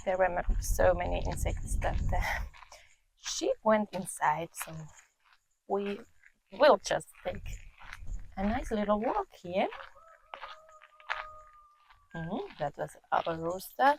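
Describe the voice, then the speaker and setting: A woman, casually vlogging outdoors and often pausing to search for words. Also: on the low side, vocal fry, slight background noise, rough recording. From Finland. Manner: relaxed, thoughtful, pauses to search for words. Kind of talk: casual walk-and-talk vlog. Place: outdoors in the countryside.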